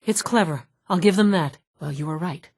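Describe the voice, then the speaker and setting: Female game scientist, low-pitched, dry close sound, brusque, wry, clipped delivery. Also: moderate pace, husky, no room sound. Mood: tense, urgent. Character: brusque, dry-witted female scientist in a post-apocalyptic underground institute. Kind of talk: video game character dialogue.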